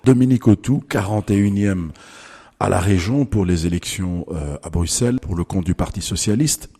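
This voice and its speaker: A man's voice: low voice, moderate pace, mellow, breathy edge, big-room sound. A man hosting a formal radio interview, announcing the guest's name and rank before each question.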